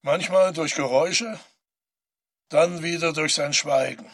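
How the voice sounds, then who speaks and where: Introspective, higher-voiced male narrator. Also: a little slow, fairly bright tone. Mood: ominous. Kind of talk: literary audio drama monologue.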